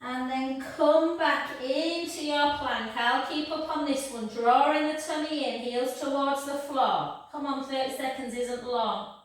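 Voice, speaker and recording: A woman pilates instructor with a croaky voice, giving encouraging step-by-step cues to a live class and calling them guys. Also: high voice, measured pace. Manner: encouraging, calls students babe and guys. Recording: echoey room, voice from far off.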